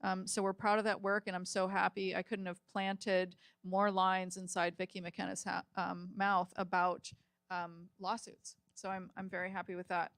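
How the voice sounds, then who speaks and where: A woman's voice: mid-range voice, little pitch movement, moderate pace, a bit bright, no room sound, studio quality. A woman moderating a live panel discussion, chatty and full of ums and restarts as she reads audience questions. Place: hotel event room with live audience.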